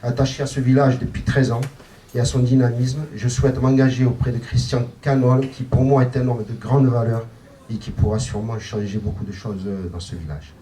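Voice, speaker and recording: A man in his fifties with a mellow, warm-toned voice delivers a prepared, formal introduction as a local candidate. He sounds distant from the mic, with faint noise behind. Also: mid-range voice, steady tone, fairly quick. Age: 50s. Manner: read, formal phrasing. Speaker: municipal list candidate.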